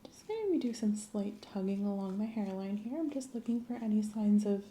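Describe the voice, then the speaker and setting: A soft-spoken woman, often whispering, doing an ASMR medical exam roleplay in a gentle, clinical manner. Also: medium pitch, lively intonation, normal speed, a little hiss or hum. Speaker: ASMR performer playing a medical examiner.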